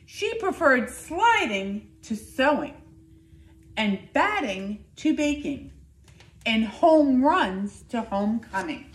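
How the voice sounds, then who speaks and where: A middle-aged woman reading a children's picture book aloud in an expressive, deliberate way, stressing key words. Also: sits high. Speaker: school nurse reading to children. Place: indoor living room by a fireplace. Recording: echoey living room.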